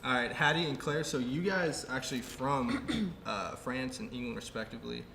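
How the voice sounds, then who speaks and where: A man's voice: medium pitch, normal speed, vocal fry. A man hosting a casual round-table interview, asking questions with lots of fillers. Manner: relaxed, filler-heavy questioning with frequent laughs. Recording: echoey room with background noise.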